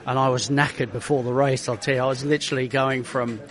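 A man, a racing driver being interviewed, who tells his story in a lively way and acts out quotes with many restarts. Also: mid-range voice, fast talker.

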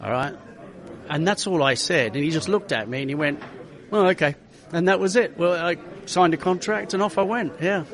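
A man's voice: a little high, normal speed, fairly bright tone. A middle-aged man, a racing driver, chatting through his stories with many restarts. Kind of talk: casual interview storytelling.

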